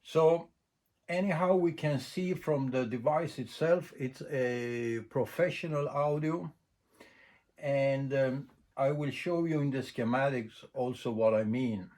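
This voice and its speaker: A man's voice: mid-range voice, a little mellow. A man who is not a native English speaker gives an informal, unscripted technical explanation with frequent hesitations. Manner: halting, unscripted, with long hesitations. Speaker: presenter explaining audio equipment.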